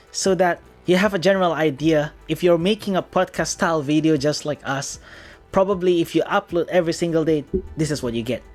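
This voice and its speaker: An Indonesian-accented man with a fairly high voice, co-hosting a relaxed podcast conversation. He leads the topics and explains things plainly and steadily, with faint music behind him. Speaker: podcast co-host. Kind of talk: casual podcast chat.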